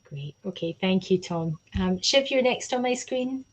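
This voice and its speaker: A woman's voice: mid-range voice, animated, measured pace. A woman hosting a live online panel as program convener, chatty, with many fillers, speaking over a video call.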